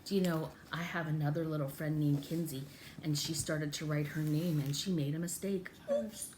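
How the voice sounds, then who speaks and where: A woman's voice: low voice, steady tone, normal speed, a little mellow, slight background noise, low-quality recording. A woman teaching and storytelling for small children, talking in an exaggerated sing-song way with coaxing questions and repetition. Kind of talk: children's story time with craft demonstration.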